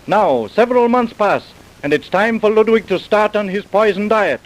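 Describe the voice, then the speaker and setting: A man's voice: high-pitched, normal speed, close to an everyday voice, poor audio. A man narrating a crime drama as a solemn storyteller, in formal old-time radio style. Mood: solemn, storytelling. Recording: vintage radio broadcast recording.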